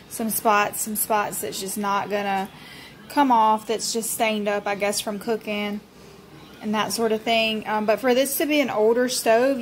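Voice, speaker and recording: A woman's voice: on the high side, a little hiss or hum. A woman, casually reviewing a cleaning product in her home kitchen, talking chattily with frequent ums into a phone held at arm's length. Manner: chatty and friendly, many ums. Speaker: home vlogger.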